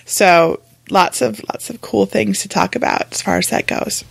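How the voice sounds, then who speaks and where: Female podcast host, mid-pitched, slightly scratchy, casual and chatty, close dry sound. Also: normal speed, creaky, slightly rough, dry recording. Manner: chatty, laughs easily.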